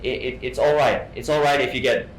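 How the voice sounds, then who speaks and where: A man from Hong Kong giving an informal interview as a guest actor, halting and often repeating his words. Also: steady tone. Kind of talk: informal artist talk, answering questions. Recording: echoey room, faint rumbling noise.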